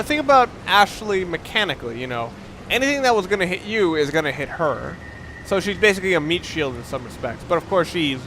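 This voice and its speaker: Let's play host: young man, slightly high voice, conversational and digressive, faint noise behind him. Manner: rambling, self-interrupting, conversational. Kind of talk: casual gameplay commentary. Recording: faint background noise, game sounds underneath. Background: video game sound.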